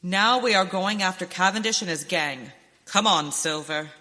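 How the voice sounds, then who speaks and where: A woman's voice: on the low side, normal speed, sharp tone. A woman playing a brave, determined masked western hero in radio theater, speaking in an earnest, commanding way. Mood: coaxing, determined. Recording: hall reverb, background noise, clicks.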